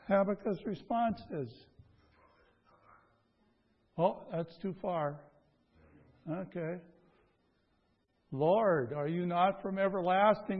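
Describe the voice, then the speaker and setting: A man preaching a conversational sermon in a folksy, halting way, repeating phrases and asking rhetorical questions. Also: high-pitched, muffled recording. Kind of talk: conversational sermon with scripture reading.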